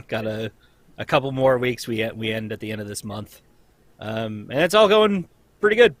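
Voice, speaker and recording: A man's voice: mid-range voice, expressive, a little slow, no room sound. A man chatting casually and in an easygoing, upbeat way from a home basement recording setup. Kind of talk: casual chat.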